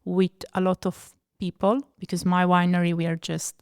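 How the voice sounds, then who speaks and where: A woman's voice: lowish voice, little pitch movement, moderate pace, smooth. A young woman whose first language is Slovenian, speaking English as a guest winemaker, searching for words and hesitating often. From Italy. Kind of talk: interview conversation.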